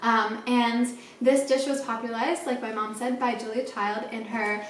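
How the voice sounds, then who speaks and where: Higher-voiced young woman in an echoey kitchen. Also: flat delivery, normal speed. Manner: chatty, informative. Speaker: cooking show co-host. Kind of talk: informal explanatory presentation. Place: home kitchen, indoors. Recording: echoey kitchen, distant mic, faint noise.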